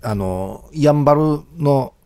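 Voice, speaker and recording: A man with a somewhat high voice. He co-hosts a radio show in casual banter, his pitch moving around with lots of animation. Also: animated, slow pace, a little mellow, clean tone. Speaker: radio co-host.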